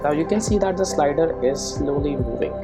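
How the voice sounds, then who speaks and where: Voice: medium pitch, lively intonation, fairly quick, over loud music. A person giving an informal live coding tutorial, thinking aloud and often checking in with "right" and "okay". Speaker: programming tutorial presenter.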